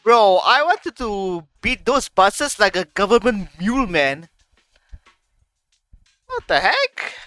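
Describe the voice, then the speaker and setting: A man's voice: sits high, huge pitch swings, slow, pauses often, pushed and bright, no room sound, faint music behind. A man giving a casual game reaction, swearing and trash-talking the villains. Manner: profane, hyped trash talk. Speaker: gaming streamer reacting.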